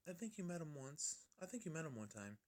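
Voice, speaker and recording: A timid young female pony from a storybook, acted out by a man in a raised voice. She is sweet and gentle, pitched high, with a soft-spoken, hesitant way of talking. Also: big pitch swings, fast. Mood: cheerful.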